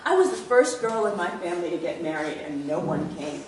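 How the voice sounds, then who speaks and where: A woman's voice: lowish voice, very animated, fairly quick, a little mellow. An actress playing a proud, loving grandmother, a widow, in a staged play reading. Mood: proud, reminiscing. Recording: very echoey stage, distant, faint noise.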